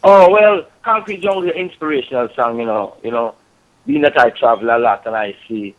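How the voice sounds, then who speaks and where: A man's voice: medium pitch, fairly quick. Jamaican male reggae singer, easygoing interview guest, slightly muffled lo-fi sound. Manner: laid-back, peppered with "you know" and "yeah, man". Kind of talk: casual interview over a call.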